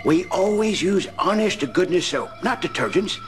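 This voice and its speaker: A chirpy laundryman pitches soap in an old-time radio commercial. His high voice swoops with exaggerated friendliness, and music plays prominently behind him. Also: lively intonation, moderate pace, fairly bright tone. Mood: chirpy, confiding.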